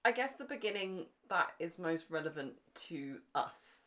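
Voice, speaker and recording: A British woman with a notably low voice for a woman. She co-hosts a casual chat podcast, dropping in dry, bantering remarks in an informal way. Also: expressive, normal speed, a bit bright. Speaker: podcast co-host.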